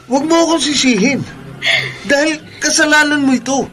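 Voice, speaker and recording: An actor playing a caddish young playboy who is cocky and dismissive, with a Filipino accent, in a radio drama. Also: male voice, very high-pitched, brisk, over music. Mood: defensive. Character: caddish young playboy boyfriend, shameless, blame-shifting.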